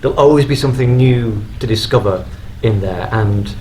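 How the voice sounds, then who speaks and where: A man giving thoughtful, measured answers as a panelist in a live discussion. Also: medium pitch, a little mellow. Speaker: convention panelist. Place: on stage at a fan convention. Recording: slightly noisy live event room.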